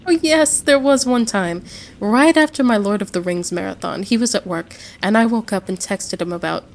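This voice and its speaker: A woman playing a caring, motherly American wife in a roleplay audio drama, gentle and reassuring, full of endearments. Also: medium pitch, normal speed, close to an everyday voice. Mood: affectionate.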